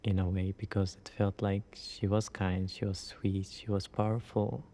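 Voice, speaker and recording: Guy, reflective interview chat, dark soft-edged tone, pretty even pitch. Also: moderate pace. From Syria. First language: Arabic. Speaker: interviewee, refugee.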